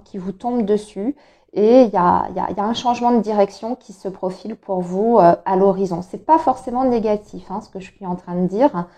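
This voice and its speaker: A woman talks through a monthly tarot spread, her voice soft and mellow. A cold has left her throat a touch hoarse. She hesitates often and fills gaps while she explains each card. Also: medium pitch. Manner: hesitant, many filler sounds, explanatory. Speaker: tarot reader. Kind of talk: monthly astrology tarot reading.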